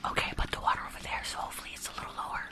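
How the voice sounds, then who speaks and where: A young woman chatting to viewers on an ASMR livestream, close to the microphone. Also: rapid-fire. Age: young adult. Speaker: ASMR live streamer. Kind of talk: whispered ASMR livestream chat. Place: small room at home, indoors. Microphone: close furry-windscreened condenser microphone.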